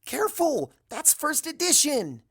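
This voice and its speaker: A man's voice: very high voice, wildly swooping pitch, unhurried, fairly bright tone, voice pushed up, exaggerated voice. A man voicing an anxious, fussy, bookish pony with a questioning manner in a story reading. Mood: alarmed.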